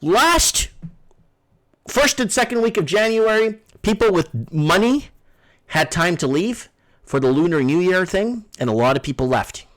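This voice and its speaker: A man's voice: sits high, lively intonation, measured pace, fairly bright tone. A man hosting a casual live call-in stream, rambling and repeating himself as he addresses his viewers. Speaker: livestream host.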